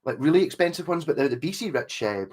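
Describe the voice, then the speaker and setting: A man's voice: fairly high pitch, lively intonation, fast, a little mellow, a little hiss or hum. A man hosting a livestream in casual chat, rambling and correcting himself as he reads viewer comments and greets people by name. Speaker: livestream host talking guitars with chat.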